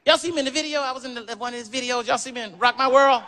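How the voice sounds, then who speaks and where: Guy doing standup, high lively voice, huge crowd roaring behind him. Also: background noise. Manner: rapid storytelling, squeaky put-on quotes. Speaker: stand-up comedian. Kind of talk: stand-up act. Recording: loud crowd, distant from mic.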